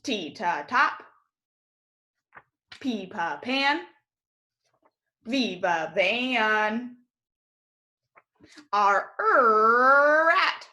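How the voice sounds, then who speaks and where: A woman's voice: a little high, lively intonation, very slow, long pauses, sharp tone, muffled recording. An American woman in her thirties teaching young children as a kindergarten teacher, encouraging and enunciating with exaggerated care in a call-and-repeat drill.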